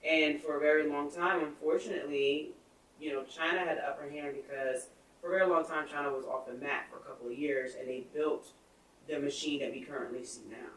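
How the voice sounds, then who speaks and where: A woman's voice: low voice, steady tone, fairly quick, a bit bright, slight background noise. A woman in her thirties co-hosting a podcast, explaining her views step by step in casual chat. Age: 30s. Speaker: podcast co-host. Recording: echoey room, far from the mic.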